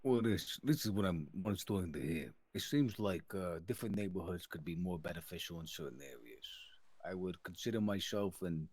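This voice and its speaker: A man's voice: low-pitched, lively intonation, a little fast, a little mellow, slightly rough, dry recording. A man improvising formal, long-winded business talk in a mobster roleplay. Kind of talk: improvised in-character business speech.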